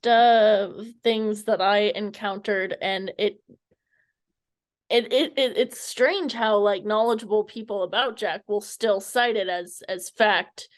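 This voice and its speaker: A woman's voice: medium pitch, slow. A woman interviewing casually, hesitant and searching for her words as she frames questions.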